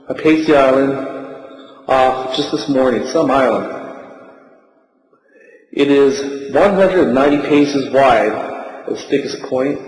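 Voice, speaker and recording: A man's voice: medium pitch, a little mellow, lightly acted. A man voicing a bitter, boastful castaway surgeon, narrating his diary in a wry, colloquial way. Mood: wry, resigned. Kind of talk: dramatic first-person diary narration. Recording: echoey room with long reverb.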